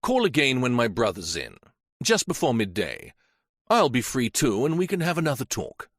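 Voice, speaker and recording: A hurried middle-aged businessman in a mystery audiobook, curt and eager to move on, as a male narrator puts him on. His speech bounces lively and sounds dry and close. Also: medium pitch, dry recording, heavily acted. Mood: brisk.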